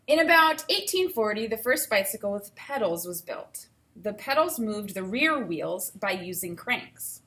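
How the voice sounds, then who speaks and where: A woman with a mid-range voice speaks as a teacher leading a read-aloud. She shifts between reading informational text and thinking out loud, explaining things step by step for learners. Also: mid-range voice.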